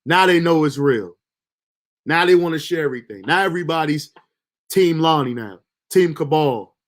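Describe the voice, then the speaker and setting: A man's voice: fairly high pitch, lively intonation, normal speed. Slangy young man hosting a livestream. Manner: loose, slangy, motivational self-talk with profanity. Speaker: livestream host. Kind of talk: casual livestream monologue.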